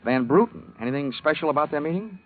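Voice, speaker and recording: A man's voice: a little high, animated, fast talker, a little hiss or hum, close to an everyday voice. An American actor playing a wisecracking, hard-boiled male insurance investigator in an old radio drama, with a wry, clipped delivery. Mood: brisk, probing. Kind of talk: 1940s-50s radio detective acting, hard-boiled narration. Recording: tinny vintage radio broadcast recording.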